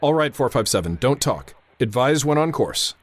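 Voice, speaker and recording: An actor playing a tense, authoritative airfield controller in a radio drama, narrating and giving orders with clipped urgency. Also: male voice, mid-range voice, sing-song, normal speed, slight background noise, lightly acted. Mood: firm, commanding. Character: tense, commanding male airfield tower controller narrating a crisis.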